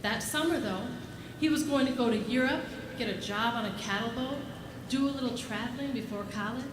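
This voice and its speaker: A woman playing a brisk, kindly senior angel in a live radio play, explaining things with authority. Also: fairly high pitch, natural voice. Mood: explanatory. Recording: echoey room with clear background noise.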